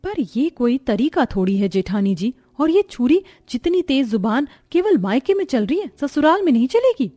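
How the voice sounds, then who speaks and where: A woman's voice: sits high, sing-song, normal speed, hardly any pauses, warm tone, voice pushed up. A woman voicing a spirited new young bride in a cartoon, direct and questioning.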